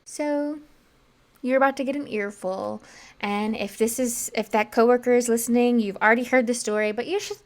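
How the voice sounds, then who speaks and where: A woman's voice: fairly high pitch, fairly even intonation, normal speed. A woman co-hosting a podcast, chatting casually and a bit rambling, slightly congested. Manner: chatty and rambling, many fillers. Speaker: podcast co-host.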